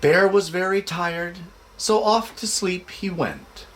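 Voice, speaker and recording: Guy doing kids story time, kinda high voice, takes it slow, expressive host. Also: a little hiss or hum. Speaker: show host reading a children's picture book. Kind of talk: casual introduction, then expressive storybook read-aloud.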